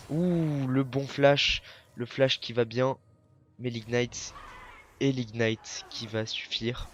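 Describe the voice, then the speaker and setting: A man's voice: mid-range voice, a little hiss or hum. A young man with a cold, commentating a video game match alone, hesitant, with many fillers and self-corrections. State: has a cold, coughing. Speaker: esports caster.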